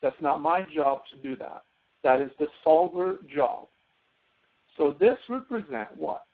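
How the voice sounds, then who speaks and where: A man's voice: on the high side, lively intonation, unhurried. A man giving a step-by-step tutorial lecture as an instructor, often asking questions and answering them himself. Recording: narrow phone-like audio.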